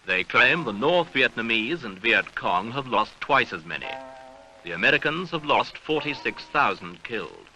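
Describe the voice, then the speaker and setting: A man reading a scripted documentary newsreel narration in a measured, grave, rhetorical manner, on an archival seventies soundtrack. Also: medium pitch, very animated, bright, forceful tone, soft background music, rough recording.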